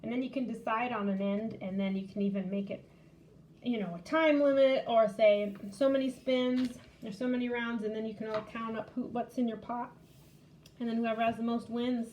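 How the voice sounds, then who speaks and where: A woman's voice: a little high, steady tone, normal speed, darkish tone, a little hiss or hum, low-quality recording. A woman hosting a children's library storytime, casually showing a craft and game step by step in simple words.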